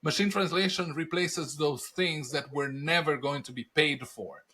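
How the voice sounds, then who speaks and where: Animated man with a bright Brazilian accent. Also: a little high, unhurried. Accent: Brazilian Portuguese. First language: Portuguese. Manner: animated storyteller, rhetorical questions, laughs at own punchlines. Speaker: podcast guest, industry analyst. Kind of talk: conversational interview answers.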